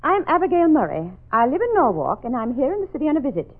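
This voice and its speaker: A prim, brisk old-time schoolteacher. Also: female voice, high-pitched, big pitch swings, full character voice. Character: prim strict middle-aged schoolteacher woman. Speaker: radio drama actress. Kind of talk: 1940s radio acting. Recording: tinny old radio broadcast.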